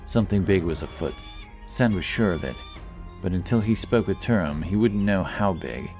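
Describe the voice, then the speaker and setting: A man with a low voice narrates a fantasy tale. He tells it in a measured, dramatic way, with music clearly playing behind him. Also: expressive, a little mellow. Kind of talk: scripted fantasy audiobook narration.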